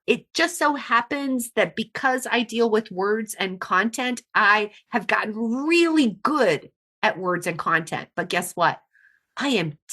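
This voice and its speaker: A woman's voice: a little high, bright, forceful tone. A woman guest on an interview podcast, talking casually and frankly, leaning on repeated words for emphasis.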